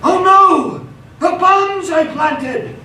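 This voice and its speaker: In a very echoey hall, a man acts out a seasoned supervillain with a very high, bright voice. He is exasperated and sarcastic, indignant in the theatrical way of comic radio theatre. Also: drawn-out words, exaggerated voice. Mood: shocked, indignant. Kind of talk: stage radio theatre comedy acting. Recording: echoey hall, distant mic, faint noise.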